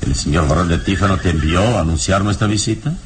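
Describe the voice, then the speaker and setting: An actor playing a calm, courteous adventurer hero in a radio serial, speaking in a measured, suave and gently ironic way. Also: male voice, low voice, background noise, close to an everyday voice. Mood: courteous, probing. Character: calm, courteous, all-knowing adult male adventurer hero. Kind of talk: Mexican radio serial acting.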